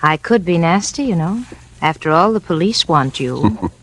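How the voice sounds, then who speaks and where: An actress playing a mature, shrewd femme fatale in a 1940s radio drama, sweet-voiced, poised, teasing and coolly menacing. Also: female voice, lowish voice, lively intonation, moderate pace. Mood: cool seduction. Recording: 1940s radio broadcast recording.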